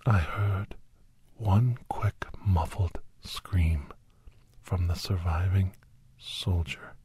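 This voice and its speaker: Male performer as a solemn horror narrator for ASMR audio drama; low, husky whisper, deliberate phrasing. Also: dark tone, exaggerated voice. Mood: hushed, suspenseful. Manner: slow, deliberate, with pauses and repeated phrases. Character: Doctor Andrew Michaels, grave male storyteller recounting a werewolf encounter. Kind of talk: whispered ASMR dramatic first-person storytelling.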